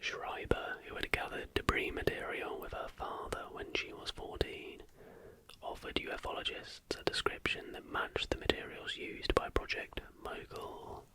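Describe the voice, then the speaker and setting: Man whispering softly, ASMR style. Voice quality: breathy, unvoiced whisper. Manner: soft, hushed, deliberate. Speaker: ASMR host. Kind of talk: ASMR whispered reading of factual script. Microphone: close recording microphone.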